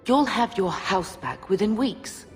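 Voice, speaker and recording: A woman's voice: medium pitch, lively intonation, a little slow, natural voice. A woman playing a proud, wronged middle-aged noble mother in a video game, dignified and determined. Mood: resolute. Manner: indignant, dignified, emphatic. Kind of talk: dramatic video game acting. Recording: long reverb with background music.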